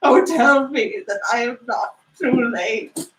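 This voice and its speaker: A woman's voice: on the high side, lively intonation, slow, slight background noise. A woman playing a reformed old-story miser, a man, in an effusive, exclamatory theatrical reading. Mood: anguished, pleading. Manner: exclamatory, effusive, breathless repetition. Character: reformed miser hero, giddy, frantic, overjoyed man. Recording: roomy, distant microphone.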